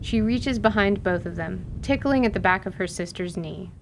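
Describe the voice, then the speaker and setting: A woman narrates an audio drama from a script. Her voice is mid-pitched, and the recording carries clear noise and a low rumble. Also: normal speed.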